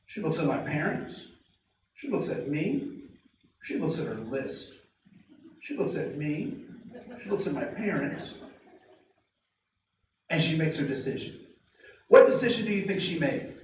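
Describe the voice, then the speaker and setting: A man giving a workshop to a live group, asking the audience many rhetorical questions and slowing down to stress key phrases. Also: fairly high pitch, sing-song, darkish tone. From United States. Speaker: diversity trainer. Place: training room with tables. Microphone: distant mic picking up the room. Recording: very echoey room, speaker far from mic, faint noise.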